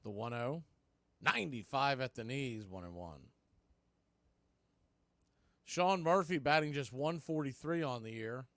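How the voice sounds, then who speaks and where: A man's voice: medium pitch, a bit bright, dry recording. A man giving live baseball play-by-play as announcer and host, speaking in clipped phrases with brief asides.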